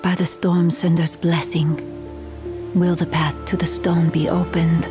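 An actress playing a serene messenger spirit in a video game, breathy and solemn, with archaic, ceremonial phrasing. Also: female voice, on the low side, level tone, measured pace, music in the background, close to an everyday voice. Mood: solemn, mystical.

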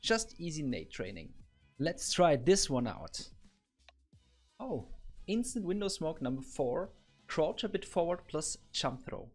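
Upbeat thirties male coach explaining gameplay step by step over soft background music. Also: fairly high pitch, very animated, unhurried, husky. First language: German. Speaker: gaming coach. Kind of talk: game tutorial narration. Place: home office. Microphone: boom arm studio mic.

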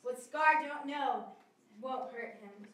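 A woman's voice: high-pitched, takes it slowly, a bit bright. A young-sounding woman playing a brash, gleeful scavenger animal in a stage play rehearsal. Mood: brash, sly. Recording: echoey room, distant mic.

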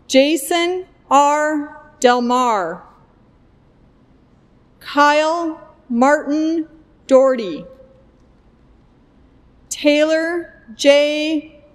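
A middle-aged woman at a podium microphone, reading graduates' names one by one in a slow, deliberate way. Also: high voice, long reverb tail. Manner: deliberate, evenly paced name reading at a podium. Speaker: commencement name reader. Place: indoor stage at a podium, recorded for a virtual ceremony.

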